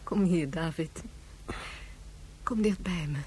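A woman's voice: lowish voice, takes it slowly, warm tone, slight background noise. An actress playing a tender, reproachful woman stranded in space, in a Dutch science fiction radio drama. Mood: tender, soothing.

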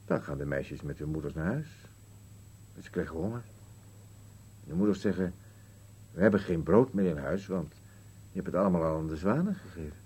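An actor playing a stubborn, bitter old husband in a radio play, with a blunt, contrary, grumbling manner. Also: male voice, deep voice, animated, normal speed, long pauses, mellow, husky, highs cut off, heavily acted. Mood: bleak, matter-of-fact. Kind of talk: dialogue in an absurdist radio play.

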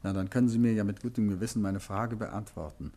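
An actor playing an urbane, tactful but insistent gentleman detective in a 1950s German radio drama, polite and measured as he probes. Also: lowish voice, fairly even intonation, natural voice.

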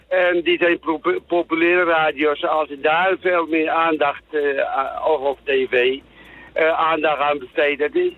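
A man calling a radio show to give his opinion, speaking haltingly as he searches for words. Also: a little high. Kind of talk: casual phone-in opinion. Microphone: telephone. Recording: narrow tinny phone line.

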